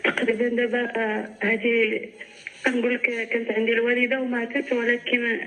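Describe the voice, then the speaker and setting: A woman in her forties with a Moroccan accent, calling a radio show by phone and haltingly describing her symptoms. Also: a little high, little pitch movement, a bit bright, soft background music, low-quality recording. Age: 40s. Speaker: caller. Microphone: phone line.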